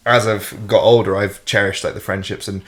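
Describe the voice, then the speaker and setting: A man's voice: lowish voice, little pitch movement, moderate pace, fairly bright tone. A man, chatting casually as host, rambling with lots of fillers and the odd swear word. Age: 20s. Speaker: fitness coach and host. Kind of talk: casual chat.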